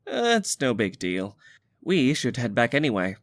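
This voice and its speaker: A woman's voice: a bass voice, huge pitch swings, moderate pace, no echo, clean studio sound, heavily acted. A woman playing a breezy young festival guide in an audio drama. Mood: brushing off.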